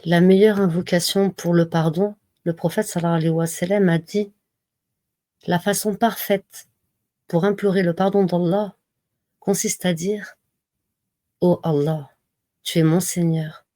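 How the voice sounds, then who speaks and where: Mellow, low-voiced woman reciting slowly. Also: steady tone, dry recording, studio quality. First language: not Arabic. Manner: slow, measured recitation with pauses. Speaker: religious reminder speaker. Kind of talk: slow devotional reading and reminder.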